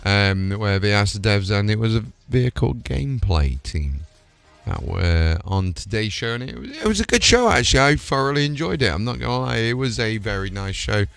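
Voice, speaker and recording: A man with a low voice talks casually to his livestream while gaming. He is chatty and informal, thinking aloud as he goes, with music playing behind him. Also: animated, moderate pace, vocal fry, a bit bright, clean tone. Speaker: live streamer. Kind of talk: casual game livestream chat.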